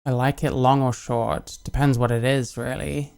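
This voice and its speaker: A British woman answers her viewers' comments in a casual, deadpan way, keeping most replies short. Her voice is smooth and resonant with a gravelly edge of vocal fry. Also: rumbling low, moderate pace. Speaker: streamer.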